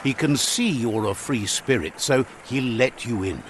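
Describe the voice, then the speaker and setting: A man doing scripted narration for a video game in a measured storytelling manner. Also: medium pitch, slight background noise. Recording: game audio over music. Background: faint game music.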